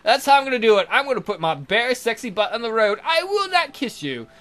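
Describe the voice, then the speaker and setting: A man's voice: extremely high pitch, big pitch swings, fairly quick, a bit bright, voice pushed up, full character voice. A man voicing a ditzy young maiden who drawls and says "like" constantly, in a visual novel playthrough. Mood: defiant. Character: ditzy young maiden with valley-girl speech.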